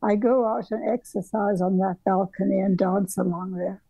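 A woman's voice: mid-range voice, little pitch movement, moderate pace, vocal fry, mellow, no echo, poor audio. An older woman from southern Africa, a guest architect, talking in a meandering, reflective way over a video call from her home workroom, with frequent restarts. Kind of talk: reflective conversational storytelling. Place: home bedroom workroom, indoors.

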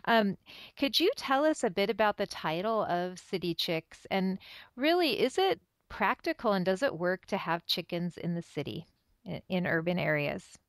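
Woman, interview host, conversational, middle pitch, dry recording. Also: sing-song, moderate pace, dry recording.

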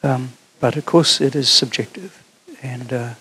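A man's voice: medium pitch, unhurried, sharp tone, breathy edge, rough recording. A middle-aged man with a New Zealand accent, answering questions on a theatre stage after a keynote, thoughtful and often restarting. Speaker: keynote speaker. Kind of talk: conference Q&A answers.

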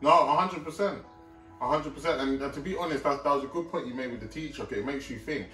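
A man's voice: mid-range voice, fast, sharp tone. A young man co-hosting a casual chat, speaking straight to the audience and checking that they follow him. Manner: addresses the audience directly, often asks "you know what I'm trying to say?". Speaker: co-host. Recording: roomy sound, mic at a distance, faint music.

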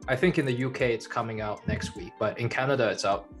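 A young man, born in China and raised in Germany, speaks over a headset on a video call from his home. His voice sits at an ordinary pitch, and his answers come out plain and measured, delivered without fuss. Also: soft background music. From born in China, grew up in Germany. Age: young adult. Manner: plain, measured, matter-of-fact. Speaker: guest, journalist and author. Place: home room with a kitchen behind.

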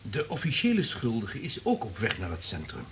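An actor playing a wary, calculating subordinate in a radio play, deferential but insistent. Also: male voice, medium pitch, huge pitch swings, moderate pace, fairly bright tone, a little hiss or hum.

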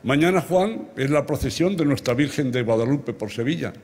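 Husky older Spanish man giving a speech. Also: medium pitch, expressive, moderate pace, a little mellow. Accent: Spanish from Spain. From Extremadura, Spain. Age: 70s. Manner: emphatic, rhetorical, addressing listeners directly. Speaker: award recipient giving a speech. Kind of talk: ceremonial public speech. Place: large open-air ancient theatre stage at night, before a big audience. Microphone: lectern microphones. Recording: amplified outdoor speech with crowd sounds.

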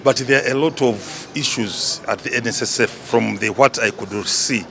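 A man's voice: mid-range voice, normal speed, creaky, a bit bright. A man with a Ugandan accent, a legislator answering an interview question into a handheld microphone. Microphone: handheld reporter microphone. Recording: outdoor traffic noise behind.

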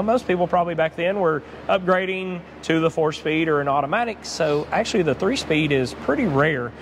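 Man explaining classic cars. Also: high-pitched. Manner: relaxed, knowledgeable, many fillers. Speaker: car salesman. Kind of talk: walkthrough explanation. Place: large indoor car warehouse. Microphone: clip-on lapel mic. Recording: faint background noise.

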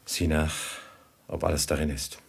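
An actor playing a stern, suspicious ruler who questions people in a grave, measured, probing way in a 1950s radio drama. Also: male voice, very deep voice, flat delivery, gravelly, a little hiss or hum. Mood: grave suspicion. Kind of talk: 1950s German radio play acting.

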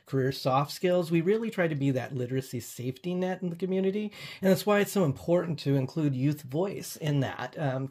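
A man's voice: medium pitch, a little mellow, husky. A man speaking as a nonprofit guest on a video call, explaining things earnestly. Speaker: nonprofit representative, interview guest. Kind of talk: earnest explanatory interview talk.

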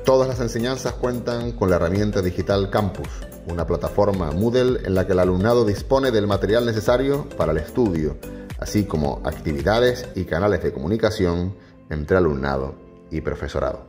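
Very deep male voice-over. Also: lively intonation, fairly quick, a little mellow, soft background music. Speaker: narrator. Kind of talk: scripted narration.

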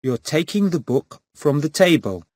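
A man reading answers for a textbook audio track, enunciating slowly and clearly. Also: on the high side, very animated, dry recording, studio quality. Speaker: recorded model speaker.

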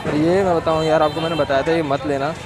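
Young man, raised pitch, casual vlogger chat, informal, repeats himself. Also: fairly even intonation, fast talker. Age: 20s. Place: outdoors, selfie intro and open-air street market. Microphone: handheld camera or phone at arm's length.

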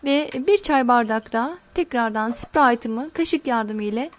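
A middle-aged woman hosting a home cooking channel, calmly talking viewers through a recipe step by step and addressing them warmly as friends. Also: a little high. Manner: slow, careful instructions. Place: home kitchen counter.

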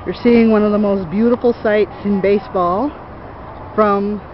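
Boston woman gushing about the ballpark, camera mic, lots of loud outdoor noise over her. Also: medium pitch, steady tone, normal speed, dull audio. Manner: effusive, emotional. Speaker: vlog co-host and tourist. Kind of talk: casual excited chat while touring. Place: outdoor baseball stadium.

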